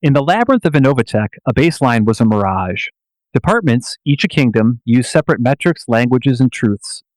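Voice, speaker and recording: Guy narrating a radio story, mid pitch, deliberate and kinda solemn, dry recording. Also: animated, no echo, low-quality recording. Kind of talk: scripted dramatic narration.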